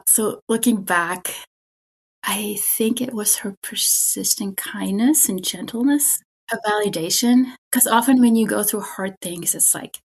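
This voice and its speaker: A middle-aged woman with a German accent speaks slowly and gently, her voice soft and a little breathy. She talks in an earnest, thoughtful way, telling a personal story as a guest in a faith conversation. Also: mid-range voice. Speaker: spiritual director, guest.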